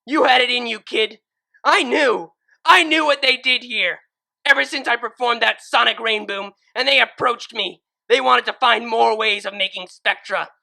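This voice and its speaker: A reader voicing a grown female pegasus turned cruel, who yells at a young pony with bitter fury in a fan fiction audiobook. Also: mid-range voice, normal speed, gravelly, voice pushed up, exaggerated voice, rough recording. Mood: furious. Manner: furious yelling, bitter and cold. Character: adult mare pegasus turned ruthless, screaming with hate.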